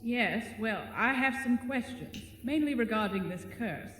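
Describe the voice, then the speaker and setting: An actor in an audio drama voicing a bored, lonely male spider monster with polite, wry, measured phrasing. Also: medium pitch, lively intonation, fairly bright tone. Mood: dryly questioning. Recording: echoey cavern-like reverb, faint noise.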